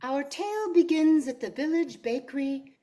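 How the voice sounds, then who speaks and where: A woman's voice: sits high, expressive, normal speed, very noisy. A woman playing a minstrel who narrates a fairy-tale radio comedy in a theatrical storybook style. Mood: storytelling.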